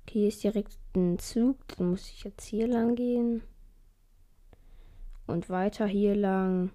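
A young woman talks through a mobile game in a loose, casual way, swearing lightly whenever she fails. Her voice is mellow but a little hoarse and cracks now and then. Her pitch keeps drifting up high. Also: fairly even intonation, measured pace. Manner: voice keeps rising high at phrase ends. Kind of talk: casual gameplay commentary.

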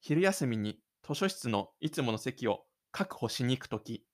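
A man's voice: medium pitch, lively intonation, moderate pace. A man reading scripted third-person narration for an audio drama.

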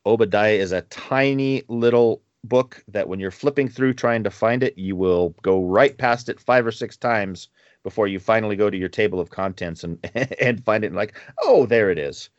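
A man's voice: lowish voice, normal speed, no room sound. A man in his forties co-hosting a podcast, chatting casually in a rambling way and chuckling between asides. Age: 40s. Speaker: podcast co-host. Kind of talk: casual chat. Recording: slightly muffled podcast recording.

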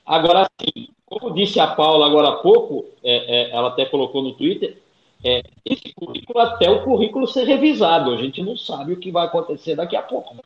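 Echoey Brazilian man, higher voice, muffled sound. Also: lively intonation, a little fast. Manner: hesitant, repeating his words. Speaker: panelist. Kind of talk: political discussion. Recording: dull, noisy, echoey room with clicks.

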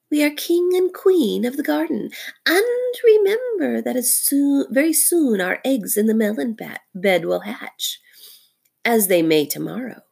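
A woman voicing a commanding, scheming female cobra in a storybook, speaking in a deliberate, conspiratorial way. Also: on the high side, vocal fry, darkish tone, slightly rough, pitched above the performer's own voice, exaggerated voice.

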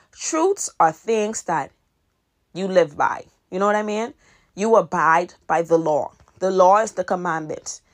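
Woman, 20s, podcast host, earnest and repetitive, casual advice talk, mid pitch. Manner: earnest, repeats key points for emphasis.

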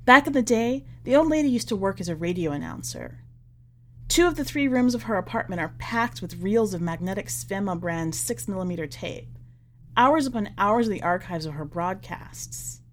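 A woman reading a short story as a podcast narrator, with wry, deliberate emphasis in an expressive scripted delivery. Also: mid-range voice, a little hiss or hum.

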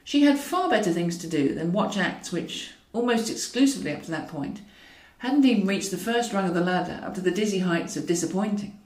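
Roomy, expressive woman narrating a novel. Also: lowish voice, brisk, hardly any pauses. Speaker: author reading own novel. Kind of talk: scripted narration of a comic novel. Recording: roomy echo, distant from mic.

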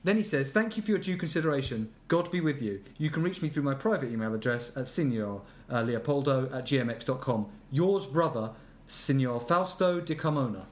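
A man's voice: medium pitch, animated, a little fast, hardly any pauses. A man co-hosting a podcast in casual comedic chat, reading out emails with amused, mocking asides. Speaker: podcast co-host.

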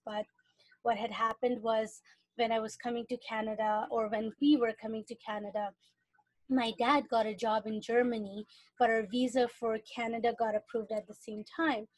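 A woman's voice: on the high side, a little mellow, dry recording, low-quality recording. A young adult woman from Iran, a guest on a podcast, talking casually and thoughtfully with frequent fillers. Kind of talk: casual interview talk.

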